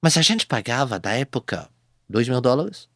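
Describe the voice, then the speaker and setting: A man speaking Portuguese as a second language, a guest telling his business story in a halting way, with many repeated false starts. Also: mid-range voice, very animated, fairly bright tone, dry recording, studio quality. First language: not Portuguese. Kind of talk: casual interview storytelling.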